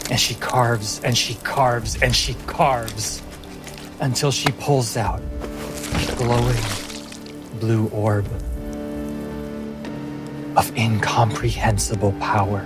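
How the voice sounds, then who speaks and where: A man narrating a fantasy tabletop game as game master, in a deliberate, dramatic way with pauses. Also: mid-range voice, fairly even intonation. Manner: slow, deliberate, pausing phrasing. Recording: music playing behind the voice. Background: music.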